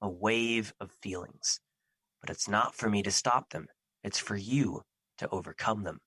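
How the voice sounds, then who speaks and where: Man acting Fear, an earnest, bright-voiced guardian. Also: lowish voice, husky, dry recording, exaggerated voice. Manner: earnest, formal, measured phrasing. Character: Fear, earnest protective personified emotion, male, steadfast guardian. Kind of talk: audio drama acting.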